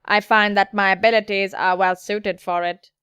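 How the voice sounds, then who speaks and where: Woman putting on a steady, curt, sassy male android. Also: medium pitch, moderate pace, smooth, no echo, studio quality, close to an everyday voice. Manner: steady, clipped, formal, with blunt insults.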